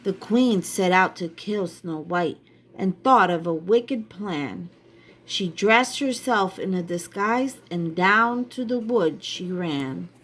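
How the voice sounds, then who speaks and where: A woman's voice: fairly low pitch, expressive, takes it slowly, a bit bright. A woman reading a story aloud to children, addressing her young listeners directly like a teacher.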